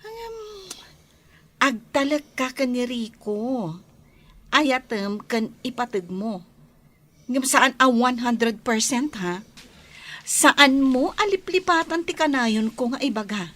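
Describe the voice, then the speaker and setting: An actress playing an emphatic, advice-giving best friend in a radio comedy drama, with an Ilocano accent. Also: female voice, high-pitched, animated, slow, pauses often, fairly bright tone, heavily acted. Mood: cautioning.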